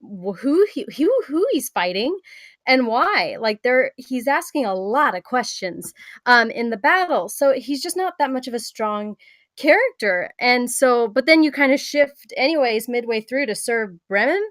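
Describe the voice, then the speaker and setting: A woman with a somewhat high voice offers casual critique. Her phrasing rambles and halts as she works through her thoughts. The sound is dry, with very little room. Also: expressive, unhurried, a bit bright, no room sound. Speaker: table reader giving script feedback.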